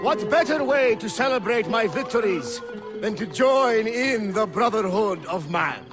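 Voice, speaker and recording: A man's voice: sits high, expressive, unhurried, over music. A man playing a proud, grandiose military commander in a video game, declaiming like a speechmaker hungry for conquest. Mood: triumphant, rousing.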